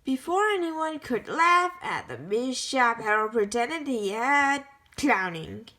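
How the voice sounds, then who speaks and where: A woman reads a children's picture book aloud at an unhurried, deliberate pace. Her voice sits a little high and sounds bright and crisp, and her reading is careful and halting, with frequent restarts and self-corrections. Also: lively intonation. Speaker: storybook reader.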